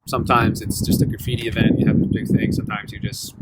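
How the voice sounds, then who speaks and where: A man's voice: fairly low pitch, level tone, fast. A young man from Northern Virginia, a street artist, talking casually and candidly in an interview, rambling a little, swearing freely and acting out what other people said. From Northern Virginia, USA. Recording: noisy background ambience.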